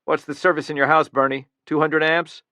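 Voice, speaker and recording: A man's voice: fairly high pitch, little pitch movement, a little fast, sharp tone, smooth, no echo, clean studio sound, voice pushed up. Man doing a menacing, bitter killer. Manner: threatening, rising to angry outbursts.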